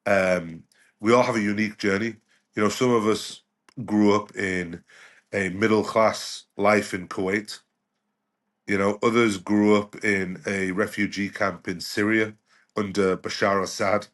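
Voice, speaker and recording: Low-voiced middle-aged man, reflective. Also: fairly bright tone. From United Kingdom. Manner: reflective, with frequent "you know" and restarts. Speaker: guest doctor. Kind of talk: conversational podcast interview.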